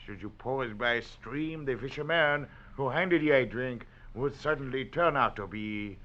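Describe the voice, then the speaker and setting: An actor playing a sly, world-weary French detective, a smooth and taunting hunter of men, in a 1940s radio drama. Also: male voice, mid-range voice, a little slow, natural voice. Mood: boastful, taunting. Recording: muffled old radio recording.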